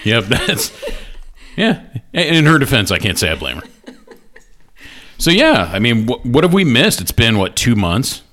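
A man's voice: medium pitch, wildly swooping pitch, a little slow, pauses often, fairly bright tone. A middle-aged man co-hosting a podcast in casual, joking banter, laughing often and swearing. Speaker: podcast co-host.

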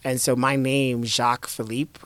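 A man in his thirties from the United States, an investor guest talking reflectively in an interview, in a measured way with repeated starts. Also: mid-range voice, little pitch movement, fairly bright tone, no echo. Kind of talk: conversational interview.

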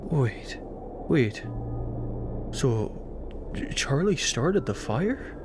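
Man acting a stunned grieving man, extremely slow, hushed, hesitant, radio play, background noise. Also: medium pitch, sing-song, heavily acted. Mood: puzzled. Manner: hesitant, quiet. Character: subdued, bewildered man grieving and questioning. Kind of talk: audio drama acting.

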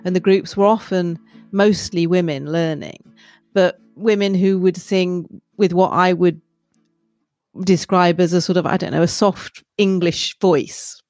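A woman's voice: fairly low pitch, fairly even intonation, unhurried, a little mellow, dry recording, soft background music. A woman podcast host in conversation, reflective and hesitant, often breaking off mid-thought. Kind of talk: conversational interview.

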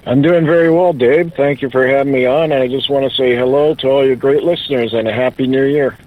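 A man's voice: mid-range voice, steady tone, fairly quick, no echo. Upbeat male guest on a phone line. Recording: dry tinny phone line.

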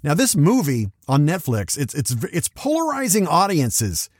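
A man's voice: fairly high pitch, wildly swooping pitch, moderate pace, no room sound, clean studio sound. A man hosting a solo podcast of scripted reviews and commentary, with a theatrical, playful, emphatic delivery. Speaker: podcast host.

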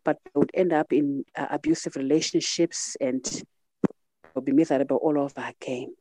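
A middle-aged woman with a Zimbabwean accent shares personal memories as an interview guest. Her voice is soft-edged and mellow, and the recording is close and dry. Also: sits low, steady tone, moderate pace, no room sound. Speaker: podcast guest. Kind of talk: reflective personal storytelling in an interview.

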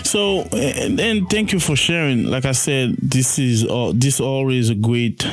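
A man's voice: medium pitch, animated. Man with hosting a podcast. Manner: warm encouraging, halting restarts. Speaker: podcast host. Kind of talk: casual interview hosting.

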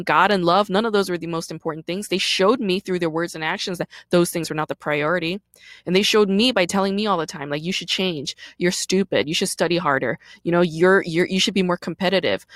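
A woman's voice: on the low side, no echo, studio quality. A woman in her thirties, a podcast host, talking candidly and emphatically in a personal monologue. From South Korea, raised in Brooklyn. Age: 30s. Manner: candid and emphatic, often asking "right?".

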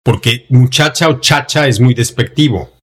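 A man's voice: medium pitch, moderate pace. A man with a Mexican Spanish accent, born in Denver and raised in Mexico, co-hosting a casual podcast chat and mocking phrases in put-on voices. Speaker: podcast co-host.

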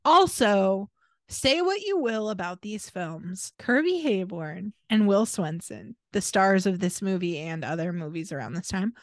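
A woman with an American accent co-hosting a podcast in casual chat, telling stories in an animated, informal way. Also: medium pitch, measured pace, dry recording, clean studio sound. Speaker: podcast co-host.

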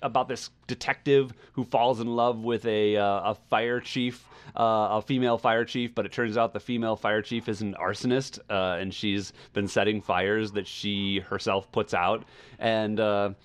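A man's voice: fairly low pitch, steady tone, normal speed. Rambling male podcast host. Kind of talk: casual podcast chat.

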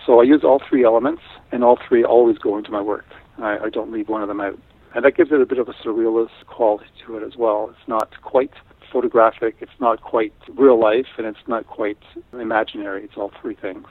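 A middle-aged man from Canada, Italian as his first language, talking in a thoughtful, unhurried way with frequent restarts in an interview. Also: lowish voice, dry recording. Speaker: guest artist. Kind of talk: casual interview talk. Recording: tinny phone line.

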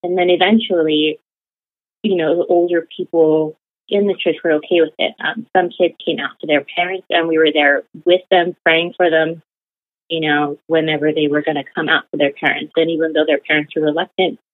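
A woman's voice: sits low, fairly even intonation, normal speed, no echo. A young woman talking casually as an interview guest, rambling with many fillers like "like" and "you know". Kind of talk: casual conversational storytelling. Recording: tinny phone-like line.

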